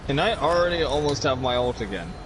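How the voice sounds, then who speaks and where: A man with a mid-range voice talks casually while streaming a video game. He comments on the play in an informal way, and clear background noise sits behind his voice. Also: medium pitch, lively intonation, fast. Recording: noisy mic with loud background noise.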